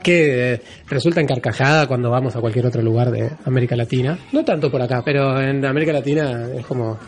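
A man's voice: medium pitch, fast. Male guest, Argentine accent, explanatory and hesitant, casual delivery. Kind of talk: casual conversation.

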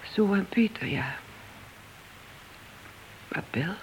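A woman's voice: medium pitch, lively intonation, very slow, dull audio, slight background noise. An actress playing the bitter, wounded wife of a policeman in a radio play, terse and reproachful.

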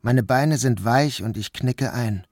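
A man giving calm, measured first-person narration of a literary story. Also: medium pitch, a little hiss or hum. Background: music.

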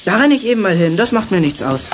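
A male actor playing a plucky, boastful, energetic boy detective in a German children's audio drama, reporting with confidence. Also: high-pitched, fast, noisy background. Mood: eager.

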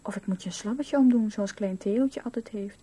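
An actress voicing a dreamy, playful little girl telling her fantasies in a radio play, with a breathy voice. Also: mid-range voice, brisk, dark tone, natural voice. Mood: playful, chattering. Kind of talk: radio drama, storytelling and chanting rhyme.

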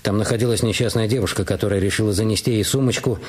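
A man narrating and playing the inspector in a radio detective play, speaking in a calm, dry, measured way. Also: fairly low pitch. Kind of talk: scripted radio drama narration. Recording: studio radio play with music bed.

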